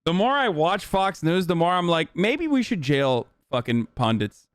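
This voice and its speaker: A man's voice: high-pitched, big pitch swings, no room sound. A man whose first language is Turkish, ranting heatedly and profanely while reacting on a live stream. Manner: profane, heated, rapid rants. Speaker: Twitch streamer.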